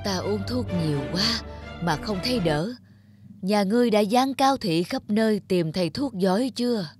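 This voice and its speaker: A woman's voice: medium pitch, lively intonation, normal speed, close to an everyday voice. Woman voicing an ailing cartoon king, middle-aged, imperious, demanding, music behind. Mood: weary. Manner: imperious, commanding. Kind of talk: cartoon voice acting.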